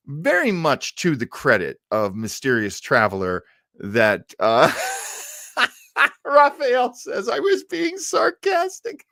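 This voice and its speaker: A man's voice: mid-range voice, fairly bright tone, no echo, studio quality. A man in his fifties hosting a livestream with casual, enthusiastic, rambling commentary. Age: 50s. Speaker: livestream host.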